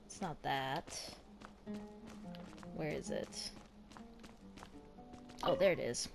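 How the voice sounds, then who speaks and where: A woman's voice: very deep voice, very slow, gravelly. A woman streamer giving casual, informal reactions while playing a game, musing to herself. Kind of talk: casual gameplay reaction commentary. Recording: game music with guitar behind voice.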